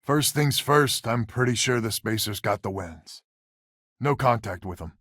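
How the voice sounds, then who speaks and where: A man's voice: mid-range voice, lively intonation, unhurried, fairly bright tone, husky, no echo, clean studio sound. An actor playing a wry, weary male space colonist who heads a settler family in a video game, with a folksy, sardonic manner. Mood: wry, dry.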